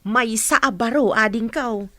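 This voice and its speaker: High-voiced, chatty housemaid. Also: female voice, measured pace, sharp tone, no room sound. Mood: chatty, friendly. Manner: deferential, friendly. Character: chatty, respectful older-sister household helper. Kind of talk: audio drama acting.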